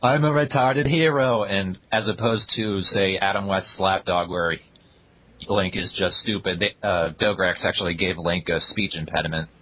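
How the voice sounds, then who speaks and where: A man's voice: deep voice, steady tone, normal speed, fairly bright tone, dull audio, a little hiss or hum. A young man co-hosting a casual podcast, reviewing web videos in a blunt, dry way. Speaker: podcast co-host.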